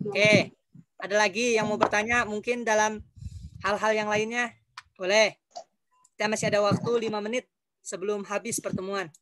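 A man's voice: high voice, level tone, normal speed, pauses often, pushed and bright, slight background noise. A male teacher explaining a lesson to his class on a video call, patiently repeating instructions.

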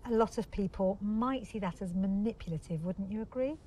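A woman's voice: medium pitch, fairly quick, warm tone, natural voice. A woman playing a motorsport interviewer who asks direct, probing questions. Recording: off-mic with faint ambient noise.

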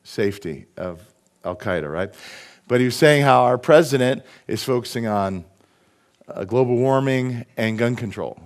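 Middle-aged pastor with a cold. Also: male voice, medium pitch, expressive, normal speed. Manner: conversational, asks the congregation questions. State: has a cold. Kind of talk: sermon with jokes. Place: church stage with a lectern.

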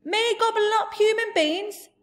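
A woman's voice: high voice, animated, measured pace, pitched above the performer's own voice. A woman voicing a big, friendly, blustering male giant from a storybook, speaking emphatically in jumbled grammar and made-up words. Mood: protesting. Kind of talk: storybook character voice.

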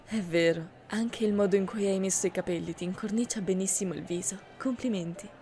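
A woman's voice: medium pitch, fairly quick, dark tone, natural voice. A young woman playing a kind, chatty, easily flustered heroine in an anime fan audio drama. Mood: chatty, polite.

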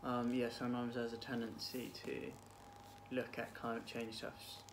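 A man in his twenties talks in a thoughtful, halting way, as if in casual chat at home. His voice sits at an average pitch. The recording has clear noise, picked up by a camera mic a little way off in a small room. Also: monotone. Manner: hesitant, thoughtful, trailing phrasing. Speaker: book vlog guest, PhD student. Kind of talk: casual chat about books.

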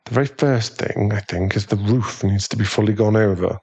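A man playing an affectionate, aristocratic British werewolf fiancé in an ASMR boyfriend audio roleplay, speaking in a gentle, polite, unhurried way. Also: lowish voice, slightly rough. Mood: businesslike, calm.

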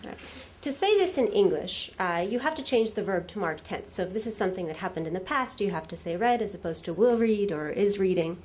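A woman whose first language is Russian gives a lively academic lecture from a lectern in a large hall, with frequent fillers and rhetorical questions to the audience. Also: medium pitch, fairly quick, hardly any pauses.

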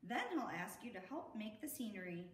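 Animated American woman reading to children, roomy echo. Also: medium pitch, fairly quick, slight background noise. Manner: sing-song, expressive, addressing young listeners. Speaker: children's storytime host. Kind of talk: animated picture-book read-aloud to children. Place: indoor room at an arts center. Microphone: camera a few metres away. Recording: roomy echo, distant camera mic.

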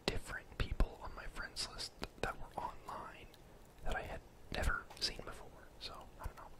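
Young man in a breathy whisper. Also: normal speed. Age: young adult. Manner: casual, rambling, thinking aloud. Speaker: gaming ASMR streamer. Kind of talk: whispered ASMR gameplay commentary.

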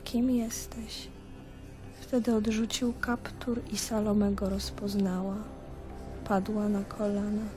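A woman's voice: medium pitch, level tone, takes it slowly, over music, lightly acted. An actress playing a grieving young princess, solemnly chanting her own tale in the third person in a radio drama. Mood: solemn, mournful.

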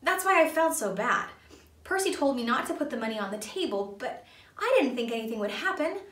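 A young cartoon asparagus boy , acted out by a woman reading a storybook. He sounds guilty and regretful. His voice is high and bright. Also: very animated, a little fast, echoey room, far from the mic. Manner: muttering, regretful.

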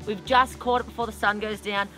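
A woman's voice: medium pitch, fairly even intonation, brisk, pushed and bright, poor audio. Young aussie woman vlogging, chatty and upbeat, music under her. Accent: Australian. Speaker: vlog host. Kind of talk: casual travel vlog narration.

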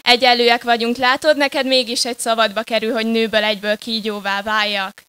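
Clear, bright-voiced woman performing slam poetry. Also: a little high, level tone, dry recording. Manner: dramatic, deliberate delivery with swings between hushed and forceful. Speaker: slam poet. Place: live poetry slam stage.

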